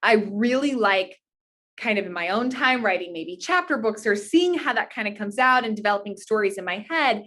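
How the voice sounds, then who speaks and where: A woman's voice: medium pitch, fairly bright tone. Young American woman answering interview questions. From Indiana, United States. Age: young adult. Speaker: podcast guest, author and pageant titleholder.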